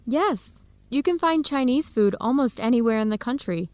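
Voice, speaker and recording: A woman plays a friendly, open-minded young researcher with an American accent in a radio drama for learners. Her voice sits a bit high. The recording is close and dry. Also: animated, moderate pace, darkish tone, dry recording, natural voice. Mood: friendly, keen. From United States. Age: young adult.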